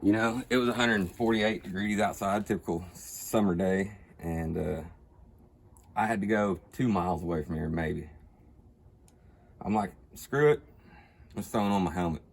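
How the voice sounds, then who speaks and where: A man's voice: sits low, moderate pace, pauses often. A middle-aged American man, a motorcycle mechanic, telling stories casually in plain, colloquial language. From United States. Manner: plain-spoken, colloquial, cursing. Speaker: motorcycle mechanic and rider, interview guest. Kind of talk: casual storytelling and chat. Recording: clearly noisy background.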